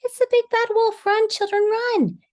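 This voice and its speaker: A woman's voice: extremely high pitch, fairly even intonation, moderate pace, darkish tone, voice pushed up. A woman voicing a fussy, easily alarmed mother hen in a children's storybook, fretful and squawking. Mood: panicked squawking.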